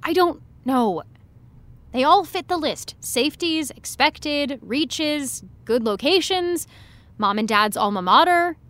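A woman playing an awkward, evasive high school senior, hesitant and apologetic, in a fiction podcast. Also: lively intonation, slow pace, fairly bright tone, no room sound, heavily acted. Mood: awkward, defensive. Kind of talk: scripted audio drama dialogue.

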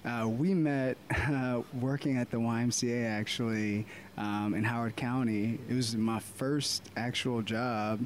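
A man in his twenties from Baltimore, a DJ talking casually and reflectively about his life as an interview guest, with frequent "uh" pauses. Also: mid-range voice, a little hiss or hum. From Baltimore, USA. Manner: relaxed, reflective, many "uh" pauses. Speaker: podcast guest, DJ.

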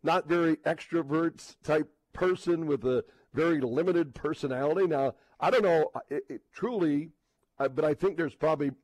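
A man hosting radio sports talk, thinking aloud in a casual, halting, rambling way with repeated words. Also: fairly high pitch, darkish tone, dry recording, low-quality recording. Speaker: sports radio host, live on location.

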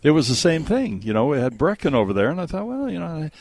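A man's voice: on the high side, big pitch swings, fast, crowd noise. A man in his sixties chatting casually as a radio host, rambling through stories in a folksy way. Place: on location at a theater.

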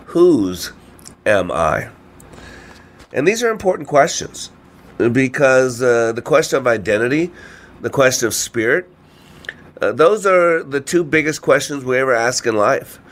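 A man's voice: medium pitch, fairly bright tone. A man with a congested voice hosting a radio show, talking informally and motivationally with frequent rhetorical questions. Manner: asks rhetorical questions, frequent "you know" and "right?". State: congested with a cold or allergies. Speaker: radio show host and trainer.

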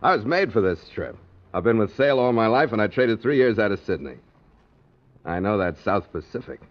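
An actor playing a pushy, wheedling sailor in a 1940s radio drama, cajoling and needling. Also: male voice, lowish voice, sing-song, normal speed. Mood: eager, cajoling. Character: pushy, wheedling male sailor seeking a berth. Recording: dull old radio recording.

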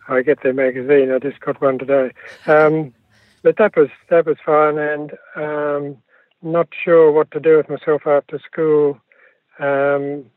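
A man's voice: fairly high pitch, dry recording. An older Australian man, a guest, recalling his life in a hesitant way, with many ums and restarts, heard over a phone. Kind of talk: relaxed recollection of his life. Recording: dry, tinny phone line.

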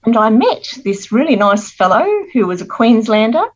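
A middle-aged Australian woman, a guest author telling her life story in an earnest, measured interview manner. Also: on the high side, lively intonation, husky, dull audio.

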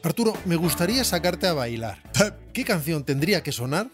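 A man co-hosting a chat show, dropping dry, quick one-liners. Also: a little high, sing-song, music in the background. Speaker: podcast co-host. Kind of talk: casual banter.

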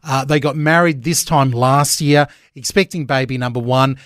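A man's voice: mid-range voice, steady tone, normal speed, fairly bright tone, dry recording, clean studio sound. A man hosting a breakfast radio show, chatting casually with lots of fillers. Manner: chatty, upbeat, many fillers. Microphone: studio microphone.

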